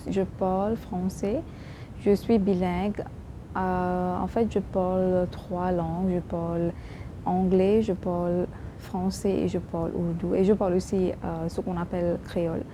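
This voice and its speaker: Woman vlogger, strong english-speaker accent in french, keeps restarting sentences, noisy rumbly background. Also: little pitch movement, dark tone. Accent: non-native French, strong. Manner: halting, many restarts and fillers. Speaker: YouTube vlogger. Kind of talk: casual talk to camera.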